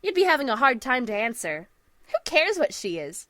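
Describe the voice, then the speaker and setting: A woman playing a cheerful, breezy, perceptive schoolgirl in an audio drama, with an energetic, folksy manner. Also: sits high, moderate pace, a little hiss or hum, heavily acted. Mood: frank, friendly. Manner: energetic, folksy, laughs easily. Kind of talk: audio drama character acting. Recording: music behind the voice.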